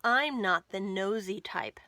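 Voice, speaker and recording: A woman voicing a prim, excitable female storybook hippo, with emphatic, theatrical delivery. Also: medium pitch, slow pace, no echo, heavily acted. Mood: defensive.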